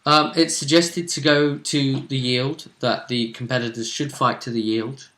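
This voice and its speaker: A man in casual chat about a tabletop game, speaking thoughtfully and drawing out his words. His voice is bright and sharp. The recording carries a roomy echo. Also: medium pitch, steady tone. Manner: thoughtful, with frequent restarts and drawn-out words. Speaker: game master and co-commentator.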